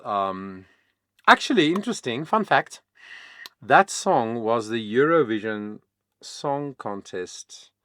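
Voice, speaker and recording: A man whose first language is Afrikaans, a vocal coach explaining singing in a casual, chatty way with many pauses and fillers. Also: on the low side, a bit bright, no echo, studio quality. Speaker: vocal coach, channel host. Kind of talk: casual reaction-video talk.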